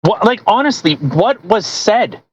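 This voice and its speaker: A woman's voice: mid-range voice, big pitch swings, unhurried, husky, no room sound, highs cut off. A woman hosting a podcast, chatting casually in a rambling, incredulous and mocking way. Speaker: podcast host. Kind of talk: casual chat.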